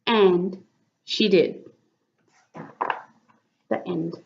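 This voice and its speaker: A woman reading a picture book aloud as an expressive storyteller, with dramatic emphasis for young listeners. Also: on the low side, drawn-out words, vocal fry, muffled recording, noisy background. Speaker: children's story reader.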